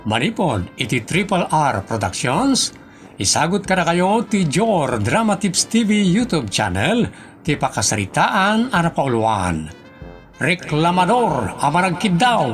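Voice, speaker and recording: Animated Ilocano male announcer. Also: a little high, unhurried, soft background music. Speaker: drama programme announcer. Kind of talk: scripted radio-style introduction.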